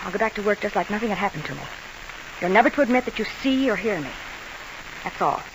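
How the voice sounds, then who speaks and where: A woman's voice: mid-range voice, animated, quick pace, close to an everyday voice. Actress as a hard, vengeful woman, hissy old radio. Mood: menacing. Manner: tough, clipped, commanding. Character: hard, vengeful nightclub chorus woman, coolly determined. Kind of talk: 1940s radio crime drama acting.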